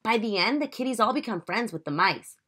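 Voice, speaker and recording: A woman voicing a talkative, excitable young boy mouse in a children's storybook, with eager, exaggerated delivery. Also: fairly high pitch, fairly quick, husky, pitched above the performer's own voice, full character voice. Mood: reassuring.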